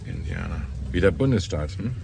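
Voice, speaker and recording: Low-voiced, terse police detective. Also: male voice, lively intonation, a little fast, gravelly, heavily acted. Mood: probing. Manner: matter-of-fact, probing, terse. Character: businesslike, insistent male police detective. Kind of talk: German radio drama acting. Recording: noisy street with vehicle sounds.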